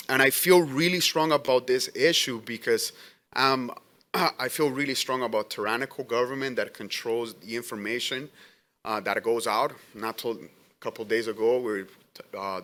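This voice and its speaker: A man who says he is an immigrant with an accent, a letter carrier giving earnest, halting testimony at a public hearing. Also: medium pitch, sharp tone. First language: not English. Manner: earnest, halting, many restarts.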